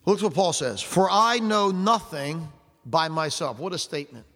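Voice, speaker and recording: High-voiced older preacher, fervent. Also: male voice, lively intonation, fairly bright tone. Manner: fervent preaching, slows and stresses scripture readings, asks the congregation questions. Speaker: pastor. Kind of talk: sermon. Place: church sanctuary. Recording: long reverb of a large hall.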